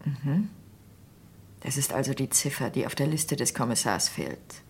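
An actress playing a composed, probing woman doctor in a German radio crime drama, speaking formally and with measured care in a husky voice. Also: very deep voice, lively intonation, darkish tone, heavily acted.

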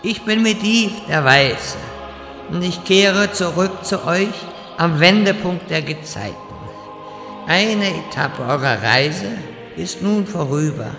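Man acting as touchy grand wizard, slightly high voice, unhurried, theatrical, slangy when flustered, fantasy parody audio play. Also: animated, creaky, over music, exaggerated voice. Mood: grandiose.

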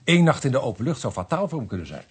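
An actor playing an earnest, slightly testy junior police detective in a radio play, with Netherlands Dutch speech and a formal, pointed manner. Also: male voice, mid-range voice, very animated, very fast, close to an everyday voice. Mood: concerned, businesslike. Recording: noisy archive radio play recording.

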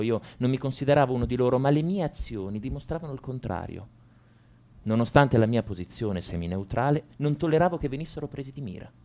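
Un attore che interpreta un liceale tormentato e amareggiato, in un monologo confessionale di radiodramma, con enfasi riflessiva. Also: male voice, medium pitch, warm tone, close to an everyday voice. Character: brooding male high school student confessing to violence. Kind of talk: radio drama monologue, first-person narration.

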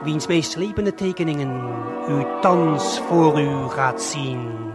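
Slow, theatrical male storyteller over music. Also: a little high. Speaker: narrator and host of an audio story. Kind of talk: theatrical scripted radio-play narration addressing the listener. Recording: 1970s vinyl audio play with music.

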